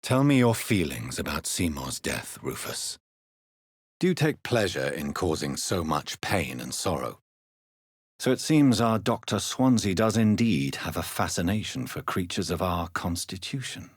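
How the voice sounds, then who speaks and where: An actor playing a grave, courteous vampire doctor in a video game, with a British accent, a husky voice and formal, measured phrasing. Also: male voice, low voice, big pitch swings, no room sound, clean studio sound, heavily acted. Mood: grave, questioning. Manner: formal, measured, polite, probing. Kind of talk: dramatic video game dialogue.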